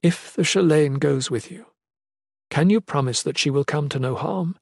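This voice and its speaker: A man's voice: on the high side, normal speed, gravelly, breathy edge, no room sound, studio quality, voice pushed up. Resolute, determined mother in a fantasy audiobook man reading. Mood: guarded. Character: determined, self-sacrificing mother, a resolute woman.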